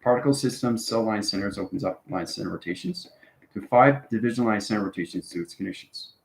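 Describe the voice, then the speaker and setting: A man's voice: on the low side, fast, vocal fry. A man giving an informal spiritual lesson to viewers in fragmented, jargon-heavy phrases, often checking in with short tag words. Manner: esoteric jargon in fragmented phrases with frequent check-ins like "okay" and "right". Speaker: online spiritual teacher. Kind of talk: informal explanatory demonstration to viewers. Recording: roomy, echoing sound.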